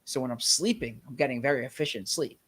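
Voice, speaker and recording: A man's voice: medium pitch, fairly bright tone, slightly rough. Slightly nasal man with a cold. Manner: halting, with frequent restarts. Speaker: sports podcast co-host. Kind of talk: casual chat.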